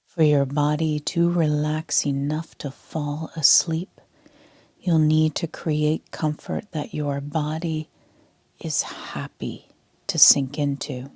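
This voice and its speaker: A woman leading a sleep meditation as a yoga nidra instructor, speaking in a soft, half-whispered, calm and soothing way. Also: deep voice, husky, no echo.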